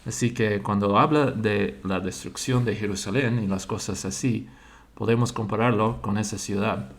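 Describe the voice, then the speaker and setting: A man, a church member slowly sharing his religious thoughts and pausing to search for words in a language he learned later. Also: lowish voice, fairly even intonation, creaky. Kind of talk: slow personal religious monologue.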